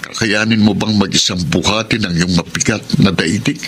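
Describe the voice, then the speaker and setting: An actor playing a devoted, protective young suitor, solemn and earnest in a melodramatic Tagalog radio drama style. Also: male voice, fairly low pitch, steady tone, normal speed, fairly bright tone, a little hiss or hum, natural voice. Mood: earnest, pleading.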